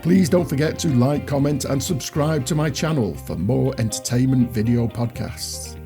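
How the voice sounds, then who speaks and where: A man's voice: medium pitch, lively intonation, normal speed, music in the background. A man hosting a podcast, moving between a scripted introduction and casual interview questions. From Greater Manchester, England.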